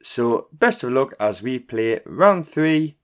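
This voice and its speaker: A man hosting a quiz podcast, reading out scripted questions and clues in a deliberate announcing manner. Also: mid-range voice, big pitch swings, a bit bright. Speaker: pub quiz podcast host. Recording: music bed under the voice. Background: background music.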